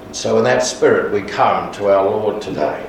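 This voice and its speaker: A man leading a communion service, speaking quietly and solemnly in prayer without a microphone. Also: lowish voice, moderate pace. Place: large echoey church without a mic. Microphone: distant room recording. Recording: far-off voice in an echoey hall with noise.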